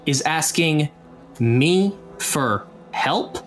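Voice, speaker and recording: A man's voice: a little high, animated, very slow. A man voicing a jaded woman ex-ghost-hunter in a tabletop game, curt, sardonic and profane.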